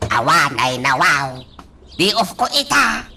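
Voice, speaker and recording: A woman acting in an amateur radio drama, speaking in a sing-song way with drawn-out sighs. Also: low-pitched, big pitch swings, pushed and bright, a little hiss or hum.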